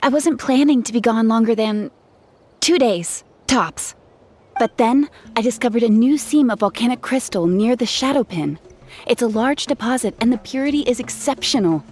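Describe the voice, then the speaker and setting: A woman's voice: on the high side, lively intonation, normal speed, darkish tone, natural voice. A woman playing an earnest, kind young female gem artisan in a video game, speaking in a conversational storytelling way. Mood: relieved, chatty. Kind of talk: video game character acting. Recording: music playing behind.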